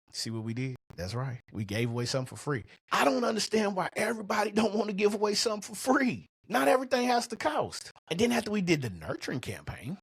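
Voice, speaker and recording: A middle-aged man with a husky voice hosting a motivational business podcast, speaking in a colloquial, emphatic, punchy way on a close microphone. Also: on the high side, no room sound. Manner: colloquial, emphatic, punchy delivery with rhetorical questions. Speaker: podcast host and coach.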